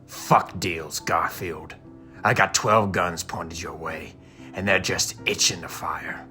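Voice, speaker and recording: An actor playing a menacing, exasperated gang enforcer, streetwise and profane, in an audio drama. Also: male voice, sits low, steady tone, slow pace, sharp tone, faint music behind, full character voice. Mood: coolly threatening. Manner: threatening, persuasive, streetwise.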